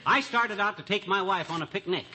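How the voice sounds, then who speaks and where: A man's voice: sits high, fairly quick, close to an everyday voice. A man playing a folksy, easily irritated husband with an American accent in an old radio comedy. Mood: irritated bluster. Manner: folksy slangy bluster. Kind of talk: 1940s-50s radio comedy acting. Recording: noisy old radio recording.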